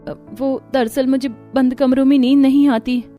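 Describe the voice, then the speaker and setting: A woman's voice: high-pitched, fairly even intonation, moderate pace, mellow, voice pushed up, lightly acted. A woman voicing a timid, secretive young daughter-in-law in a cartoon story, hesitant when questioned, with music behind. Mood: nervous.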